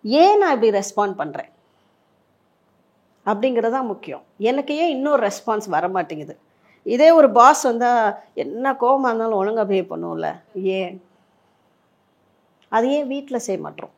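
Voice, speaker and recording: Higher-pitched woman explaining like a coach. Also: big pitch swings, moderate pace, long pauses, lo-fi sound. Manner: emphatic, explains through rhetorical questions and examples. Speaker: life coach giving relationship advice. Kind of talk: explanatory interview talk, Tamil mixed with English words.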